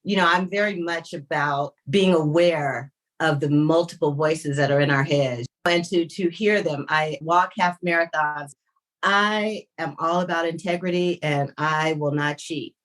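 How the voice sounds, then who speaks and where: A woman hosting a podcast interview, encouraging and expressive, now and then slipping into playful put-on voices. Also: low-pitched, unhurried. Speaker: podcast host.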